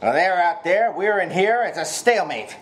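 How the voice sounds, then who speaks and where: A man's voice: high voice, animated, moderate pace. A man playing a tough, wary, wisecracking gang boss in a hard-boiled noir radio comedy, speaking tersely. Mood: bossy, wary.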